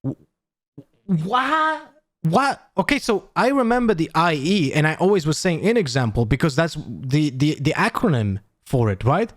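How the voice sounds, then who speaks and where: Lively man analyzing gameplay. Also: on the high side, moderate pace, no echo, studio quality. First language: Polish. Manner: animated, swears freely, thinks aloud. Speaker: esports analyst streamer. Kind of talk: casual VOD breakdown.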